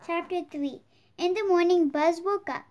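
A child with a high voice reading a children's picture book aloud. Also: normal speed, a little mellow, highs cut off. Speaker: storybook reader.

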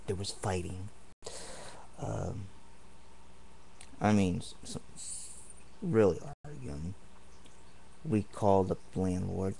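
A man's voice: low voice, vocal fry, warm tone, a little hiss or hum. A man ranting indignantly and at length in a home video, repeating himself as he rambles. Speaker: vlogger. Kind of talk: angry home video rant. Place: home apartment.

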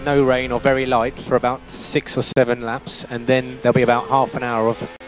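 A man's voice: medium pitch, level tone, fairly quick, a little hiss or hum, low-quality recording. A man working as a race engineer, giving terse, matter-of-fact instructions over team radio and repeating key phrases. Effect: team radio transmission.

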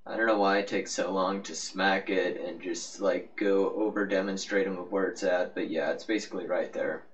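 A man giving a casual, rambling, offhand game walkthrough as the host of a gaming video. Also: sits low. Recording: muffled, noisy, distant-sounding microphone.

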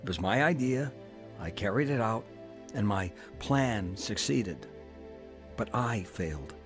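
A man's voice: on the low side, lively intonation, measured pace, music in the background. An actor playing a reformed former crook who tells his own story in a radio drama, talking plainly and reflectively. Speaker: narrator and main character. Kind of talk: radio drama acting and narration.

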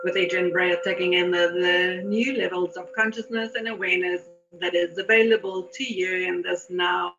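A woman leading a guided meditation in a soothing, deliberate manner with repeated phrases. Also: fairly low pitch, steady tone, fairly bright tone. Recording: dull lo-fi audio.

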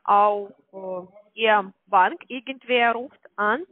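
A woman with a foreign accent in a phone conversation, speaking a second language haltingly as she searches for words. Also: mid-range voice, no echo. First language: not German. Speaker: call-centre finance department manager. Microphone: telephone line. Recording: tinny, narrow-band phone call recording.

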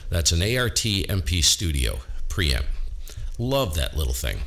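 A man with a boomy borderline baritone voice, casually walking through his ham radio setup at home and explaining what he hears. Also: measured pace, vocal fry. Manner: relaxed, explanatory, thinking aloud. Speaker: ham radio operator and host. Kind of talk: casual technical walkthrough.

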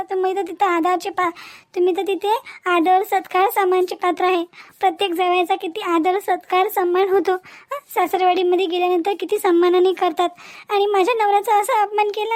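A woman's voice: very high-pitched, fairly even intonation, moderate pace, dry recording. A woman playing a young newlywed wife in a cartoon melodrama, devoted and hurt, speaking in an emotional, plaintive way. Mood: upset, pleading. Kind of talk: amateur Marathi cartoon melodrama dialogue.

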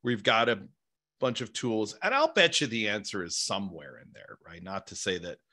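Middle-aged male interviewer, lowish bright voice, very lively intonation, casual and musing. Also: normal speed. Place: small home office, indoors. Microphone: desk microphone on boom arm.

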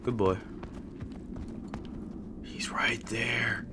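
A young man casually commentating a video game as he plays, chatty and joking, with sudden loud outbursts. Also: mid-range voice, fairly even intonation, drawn-out words, background noise. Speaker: gaming YouTuber. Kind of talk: casual let's-play commentary.

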